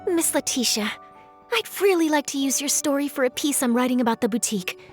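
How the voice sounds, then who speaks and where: A woman playing a polite, sympathetic young reporter in a video game, attentive and expressive. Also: high voice, fairly quick, faint music behind. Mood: purposeful. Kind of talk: video game character acting.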